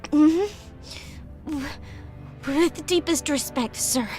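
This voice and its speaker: A woman's voice: high-pitched, slow pace, a little mellow, soft background music. A woman voicing a timid, deferential young girl spirit in an audio drama, stammering apologetically. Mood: apologetic.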